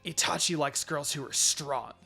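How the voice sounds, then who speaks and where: Man voicing a cold, breathy, vengeful ninja girl. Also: on the high side, normal speed, sharp tone, soft background music, exaggerated voice. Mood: cold menace.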